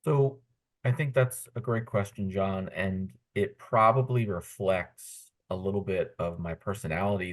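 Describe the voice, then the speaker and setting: A man's voice: lowish voice, a little mellow. A man, a pediatric intensivist on a panel, telling his story and acting out quoted exchanges. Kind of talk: panel discussion.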